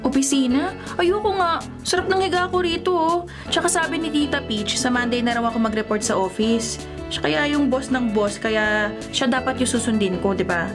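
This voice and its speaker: Woman as tomboyish young adult, Filipino accent, high voice, casual slangy delivery, radio drama, light music behind. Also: normal speed, close to an everyday voice. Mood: laid-back. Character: laid-back boyish young woman, secretly lovelorn.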